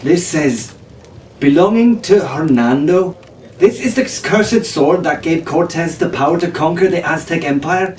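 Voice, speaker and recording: A man's voice: on the high side, measured pace, distant mic, voice pushed up, close to an everyday voice. A man voicing a knowledgeable, strong-willed teenage tavern girl in a storybook, telling pirate lore in an earnest, firm way. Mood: excited, awed. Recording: echoey room from off-mic, faint noise.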